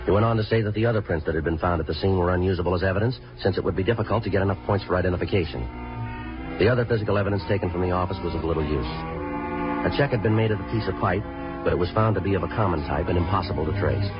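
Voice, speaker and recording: An actor playing a terse, matter-of-fact American homicide detective who narrates a 1950s radio crime drama in a clipped, deadpan way. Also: male voice, deep voice, rapid-fire, natural voice. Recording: dull vintage radio recording with music.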